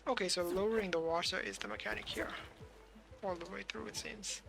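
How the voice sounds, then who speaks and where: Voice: mid-range voice, steady tone, brisk, creaky. A game streamer giving casual commentary, muttering questions and short reactions to themselves while playing. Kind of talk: casual gameplay commentary, thinking aloud. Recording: game music behind the voice. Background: video game music.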